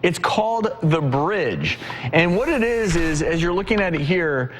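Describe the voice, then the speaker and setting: Guy hosting a tv health show, kinda high voice, measured explainer style, some noise behind him. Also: very animated. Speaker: TV show host. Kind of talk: studio health talk show presenting.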